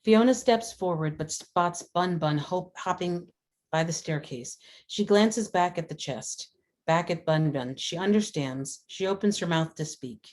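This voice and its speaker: A woman's voice: fairly low pitch, a little slow, darkish tone. A woman reading scripted screenplay stage directions as the narrator of a dramatic table read.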